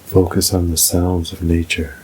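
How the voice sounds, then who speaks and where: A man narrating a scripted guided meditation in a soothing, measured, unhurried way. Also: sits low, level tone, darkish tone, husky.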